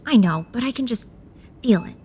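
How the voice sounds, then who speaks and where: A woman playing a wry, stubborn young heroine in a horror audio drama, with a halting, dry delivery. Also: a little high, lively intonation, a little mellow, husky. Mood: dry, flustered.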